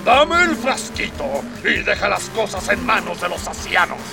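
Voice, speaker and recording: An actor playing a stern, patriotic alien military colonel in a radio drama, barking with authority. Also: male voice, sits high, very animated, normal speed, gravelly, music in the background, full character voice. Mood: commanding. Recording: off-mic, distant.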